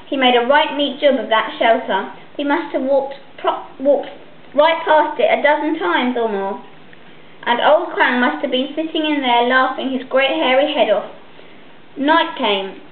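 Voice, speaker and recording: High-voiced woman reading on a tinny recording. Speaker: reader of a children's story. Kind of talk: storybook read-aloud. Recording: echoey, tinny narrow-band home recording, noisy.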